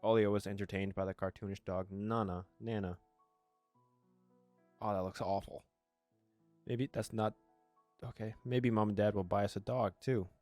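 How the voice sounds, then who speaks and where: A low-pitched male gamer with a close, dry sound reads a story game aloud over background music. He drops in casual reactions and now and then stumbles on a word and corrects himself. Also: steady tone, moderate pace, long pauses, darkish tone, no room sound. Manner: stumbles over words while reading, self-correcting. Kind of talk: casual let's play, reading narration aloud and reacting.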